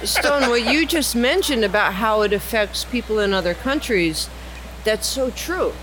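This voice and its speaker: A woman's voice: a little high, lively intonation, a little slow. Woman podcast host, earnest persuader; noisy lounge recording with vehicles passing. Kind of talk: casual roundtable chat. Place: cigar lounge.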